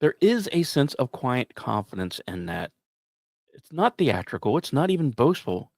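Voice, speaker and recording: Male podcast narrator, mid-pitched and highly expressive, measured and emphatic with casual asides, dry recording. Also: vocal fry, no room sound. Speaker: podcast host. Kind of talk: scripted science news narration with casual asides.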